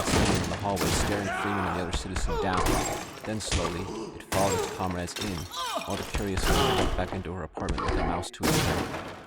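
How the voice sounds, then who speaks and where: A man's voice: deep voice, very noisy. A man reading scripted dramatic prose as the narrator of an audio drama. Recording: loud ambient sound effects under narration.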